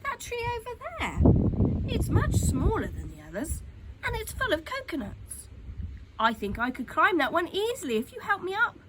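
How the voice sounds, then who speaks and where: Woman voicing an eager, high-pitched excited child. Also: normal speed, full character voice. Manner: eager, exclaiming. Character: excitable young schoolchildren at play. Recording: clear background noise.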